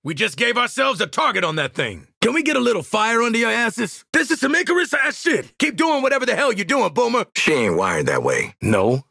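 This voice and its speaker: An American actor playing a gruff, sardonic, weary hitman in a video game, blunt and streetwise, with a husky voice. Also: very high-pitched, big pitch swings, fairly quick, few pauses, bright, forceful tone, no room sound, studio quality, heavily acted. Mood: dry, weary.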